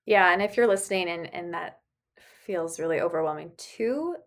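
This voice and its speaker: A woman's voice: on the low side, normal speed, a bit bright. A woman co-hosting a podcast in casual chat, asking questions and laughing easily. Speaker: podcast co-host.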